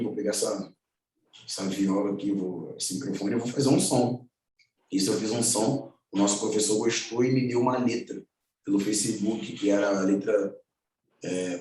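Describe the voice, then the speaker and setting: Young carioca-accented man in echoey room. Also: fairly low pitch. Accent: Rio de Janeiro (carioca). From Angola. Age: 20s. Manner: relaxed, slangy, many fillers and restarts. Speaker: musician guest. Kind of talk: casual personal storytelling. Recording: very echoey room, far from the mic.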